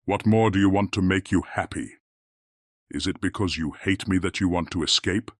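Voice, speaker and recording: A man voicing a gentle, lovesick beast with a gruff voice and a mournful, formal manner in a fairy tale audiobook. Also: low voice, moderate pace, dry recording, studio quality. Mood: miserable, pleading.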